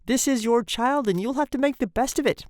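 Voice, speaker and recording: A woman's voice: high-pitched, sing-song, dry recording, studio quality, pitched above the performer's own voice, heavily acted. Stern, insistent storybook nurse, voiced by a woman. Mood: severe. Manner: stern, brisk.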